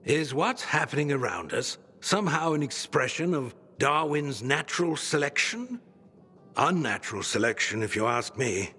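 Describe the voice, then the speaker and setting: A man's voice: a little high, expressive, moderate pace, bright, forceful tone, slightly rough, long reverb tail, full character voice. An actor playing a grandiose, theatrical old male scholar who speaks in pompous, flowery phrases. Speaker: book-collecting patron.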